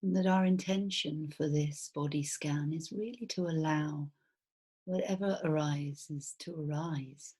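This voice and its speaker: A woman guiding a body scan meditation as a mindfulness teacher, speaking softly and soothingly in a breathy voice with long pauses. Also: sits low, steady tone.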